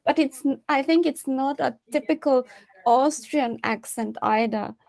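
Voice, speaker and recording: A woman's voice: high voice, unhurried, gravelly, a little mellow, rough recording. A woman in her forties with a Hungarian accent, a native Hungarian speaker, chatting casually on a video call from a small room at home, hesitant and restarting often. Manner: hesitant, restarting, curious, laughing lightly. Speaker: meeting moderator. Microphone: earphones with a cable mic on a video call.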